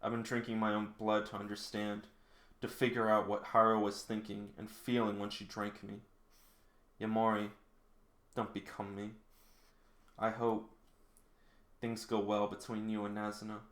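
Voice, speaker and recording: A man reading the part of a guarded, bitter female vampire from a manga, in a halting, solemn way. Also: on the low side, completely flat delivery, fairly bright tone, lightly acted. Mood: somber, reflective. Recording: roomy, echoing indoor sound.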